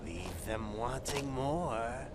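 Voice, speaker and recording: An actor playing a flamboyant, foul-mouthed, drugged-up gang boss in a video game, ranting with theatrical swagger. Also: male voice, medium pitch, expressive, drawn-out words, very noisy. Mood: smug, taunting. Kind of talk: video game villain acting.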